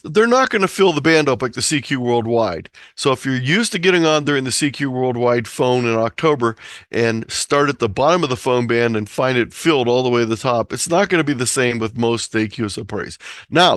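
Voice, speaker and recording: A man's voice: medium pitch, a bit bright, no room sound, studio quality. An American man giving an informal, explanatory online presentation, talking listeners through practical instructions as a presenter. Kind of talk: informal slideshow lecture on a hobby. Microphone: close computer or headset mic on a video call.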